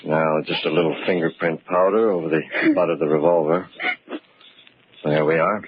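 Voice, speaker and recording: An actor playing a middle-aged police inspector, brusque and skeptical, in 1940s radio acting on a muffled old radio recording. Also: male voice, rumbling low, fairly even intonation, normal speed, noisy background. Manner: brusque, no-nonsense questioning. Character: police inspector, older man, gruff and skeptical.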